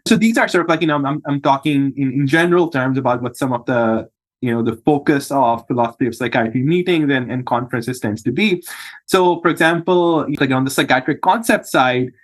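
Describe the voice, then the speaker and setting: Thoughtful male psychiatrist, halting speech. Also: mid-range voice. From Pakistan. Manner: thoughtful, hesitant, many restarts. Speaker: psychiatrist guest. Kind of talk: podcast interview.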